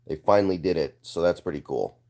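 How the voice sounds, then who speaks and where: A young man hosting a casual live stream, congested and hoarse, answering viewer comments with an offhand manner and frequent throat clearing. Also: sits low, fairly quick, mellow.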